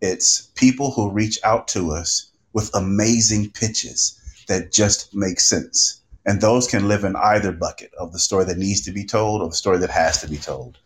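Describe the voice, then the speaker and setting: Male podcast panelist, low register, emphatic delivery with deliberate repetition. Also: low-quality recording. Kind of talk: unscripted panel talk.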